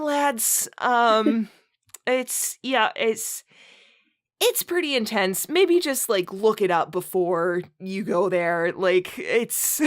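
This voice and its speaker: A woman hosting a comedic read-aloud with casual chat, often breaking into laughter as she talks. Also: mid-range voice. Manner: giggly, breaks into laughter while talking. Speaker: host and reader.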